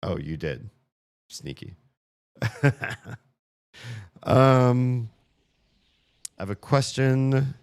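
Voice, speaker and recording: A man streaming a puzzle game, grumbling sarcastically about the puzzles while his voice is going hoarse. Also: medium pitch, lively intonation, extremely slow, pauses often, a little mellow, dry recording, studio quality. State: losing his voice. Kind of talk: casual live-stream commentary.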